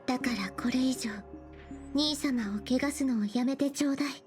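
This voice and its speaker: Actress as protective young game heroine: high-pitched, earnest, controlled, softly breathy, unyielding, music underneath. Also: female voice, fast talker, close to an everyday voice. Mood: firm indignation. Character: gentle-spoken but resolute young woman, protective sister. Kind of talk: Japanese anime-style game acting.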